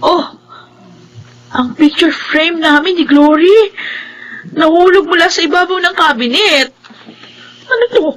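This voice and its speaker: A woman playing a skeptical, excitable, easily frightened woman in a radio drama, full of questions and exclamations. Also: very high-pitched, measured pace, long pauses, poor audio. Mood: startled. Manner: questioning, exclaiming, stammering when scared.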